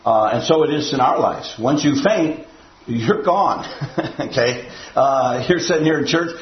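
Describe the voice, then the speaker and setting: A man's voice: medium pitch, animated, a little slow, roomy sound, lo-fi sound, slight background noise. An older man preaching a sermon to a church audience, rambling and folksy, with a habit of repeating himself.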